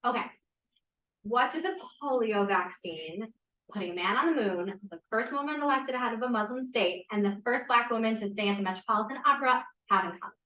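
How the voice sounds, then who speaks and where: A woman's voice: on the high side, animated, brisk, sharp tone. A woman acting a composed, mysterious leader of a secret society in a script read-through. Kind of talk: table read of a play script. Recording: echoey room.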